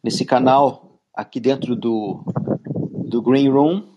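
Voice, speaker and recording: A man's voice: mid-range voice, fairly even intonation, slow pace, muffled recording, background noise. A man with a Brazilian Portuguese accent hosting an interview in a friendly, chatty way, often restarting phrases. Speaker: host. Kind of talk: podcast interview.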